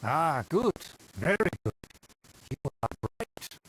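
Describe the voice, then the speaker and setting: An actor playing a haughty, condescending aristocrat in a video game, speaking in a formal, grandiose manner. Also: male voice, on the high side, sing-song, slow pace, over music, poor audio. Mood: haughty, appraising. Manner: formal, grandiose, condescending, flustered when slipping. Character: pompous, haughty aristocrat of a faded noble clan.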